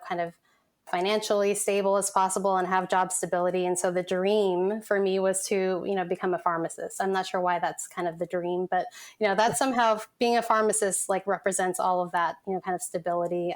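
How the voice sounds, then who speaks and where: A woman in her forties who grew up in San Francisco, guest on a podcast, telling her story in a reflective, chatty way with many "you know" fillers. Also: mid-range voice. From San Francisco, United States. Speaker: guest, content design manager. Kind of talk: casual podcast interview.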